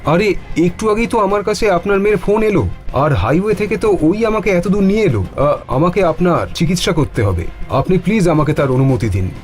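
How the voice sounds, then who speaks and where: A man's voice: sits high, animated, fairly quick, soft background music, voice pushed up. A man voicing an earnest, frightened doctor in a horror cartoon, flustered and polite as he speaks. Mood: polite, puzzled. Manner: flustered, polite, stammering in protest. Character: frightened, earnest, decent male doctor on a house call.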